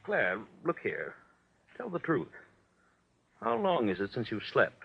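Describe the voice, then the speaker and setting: Anxious, wildly swooping radio-drama husband. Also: male voice, mid-range voice, huge pitch swings, vocal fry, breathy edge, heavily acted. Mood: worried, insistent. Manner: urgent, agitated, halting. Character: anxious, fretful husband pressing his sleepless wife. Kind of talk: 1940s radio drama acting. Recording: muffled vintage 1940s radio recording.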